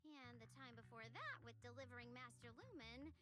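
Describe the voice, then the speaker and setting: Playful young game girl, super high bouncy voice, teasing, bit of a schemer. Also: sing-song, moderate pace. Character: breezy, teasing young woman working customer service, casually opportunistic. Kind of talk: video game voice acting.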